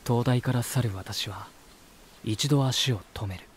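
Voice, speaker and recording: Earnest man with a mid-pitched voice, acted. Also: a little slow, slight background noise, natural voice. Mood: pensive narration. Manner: polite, reflective. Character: earnest, peace-loving, uneasy male visitor and narrator. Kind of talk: voice drama dialogue and inner monologue.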